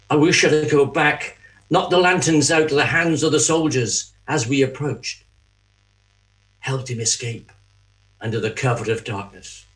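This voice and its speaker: Remorseful betrayer, played by a man, mid-range voice, very measured pace. Anguished, halting confession for a church drama. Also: mid-range voice, fairly bright tone, close to an everyday voice, low-quality recording. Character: Judas, remorseful betrayer haunted by guilt, pleading. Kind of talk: dramatic monologue in a church reading.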